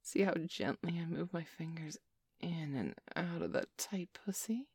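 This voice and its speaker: A woman's voice: fairly low pitch, animated, unhurried, a little mellow, slightly rough, no echo, clean studio sound. A woman performing an intimate roleplay, teasing and commanding, slipping often into whispers.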